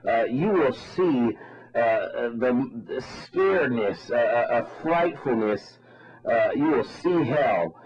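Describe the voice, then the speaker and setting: A man being interviewed by phone as a radio ministry spokesman, speaking in an insistent, repetitive, fervently preaching way. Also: mid-range voice. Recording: muffled, band-limited radio phone interview.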